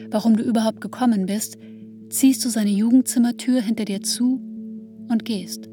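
A woman narrating a radio drama in a measured, restrained way, recounting painful memories intimately in the second person. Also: mid-range voice, lightly acted. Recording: dry studio with music underneath.

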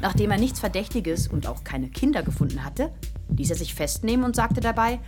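A woman's voice: medium pitch, very animated, fairly quick, over loud music, lightly acted. An actress playing a gossipy, well-informed woman in a radio play, telling stories earnestly. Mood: earnest storytelling. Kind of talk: German radio play acting.

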